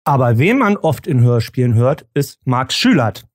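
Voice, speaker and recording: A man with a medium-pitched voice moderates an informal panel. He talks conversationally and gives his own view. The sound is dry and close. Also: sing-song, moderate pace, no echo. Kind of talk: casual panel discussion.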